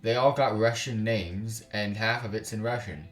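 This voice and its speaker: A man doing casual let's play commentary and reading game text aloud in a halting way, with exasperated, slangy, sweary asides. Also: lowish voice. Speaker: gaming YouTuber, let's play host. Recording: roomy sound with music underneath.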